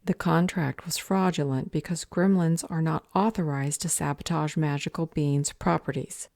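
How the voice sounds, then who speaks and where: Woman playing a low-voiced, stern magical judge. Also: moderate pace, vocal fry, a little mellow, no echo, clean studio sound. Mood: stern, officious. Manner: formal, officious, commanding. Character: stern, curt female magical council judge. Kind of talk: audio roleplay fantasy drama.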